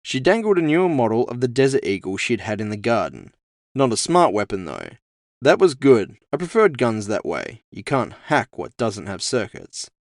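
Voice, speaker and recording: A man with a medium-pitched voice narrates scripted fiction in the first person. The recording is close and dry, with no room sound on the voice. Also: huge pitch swings, normal speed, dry recording. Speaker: author and narrator.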